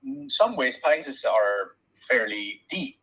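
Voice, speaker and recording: A man in his forties from Sweden with a Swedish accent, a shaman and Reiki practitioner talking reflectively as an interview guest, softly and with many pauses. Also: mid-range voice, lively intonation, husky, poor audio. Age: 40s. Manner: soft-spoken, searching for words, many pauses.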